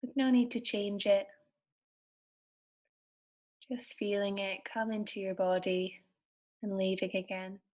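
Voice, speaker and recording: Woman gently guiding a meditation. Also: mid-range voice, little pitch movement, dull audio. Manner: soothing, gentle, unhurried instruction with pauses. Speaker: meditation guide. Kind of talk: guided meditation.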